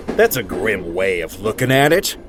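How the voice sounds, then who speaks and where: Expressive, curious train crewman played by a man, noisy background. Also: lowish voice, heavily acted. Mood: calm, reflective. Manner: curious, reflective, probing. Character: thoughtful, questioning male steam train crewman. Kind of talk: amateur audio drama acting.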